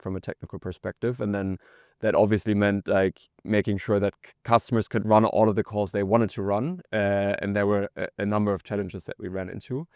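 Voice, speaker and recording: A man's voice: on the low side, a little mellow, clean tone, dry recording, studio quality. A man speaking as a startup co-founder and interview guest, explaining things conversationally with circling, hedged phrasing.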